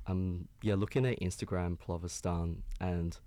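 A man's voice: deep voice, fairly even intonation, unhurried, mellow, dry recording. A man from China co-hosting a casual radio show, hesitant with many ums. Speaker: radio host.

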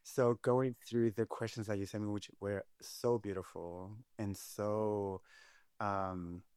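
Lively chatty man, low dry voice. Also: takes it slowly, clear, resonant tone, no echo. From Chile. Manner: animated, chatty, calls people honey and babe. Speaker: guest. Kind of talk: casual chat.

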